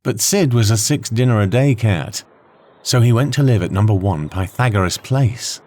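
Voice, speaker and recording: A man reading a scripted children's story in a measured, expressive way, with deliberate pauses. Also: on the low side, faint music behind. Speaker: storybook narrator.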